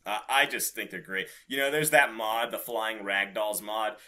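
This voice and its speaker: A man's voice: lowish voice, normal speed, bright, forceful tone. A man co-hosting a podcast in casual gaming chat, with a giggly, swearing manner. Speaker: podcast co-host.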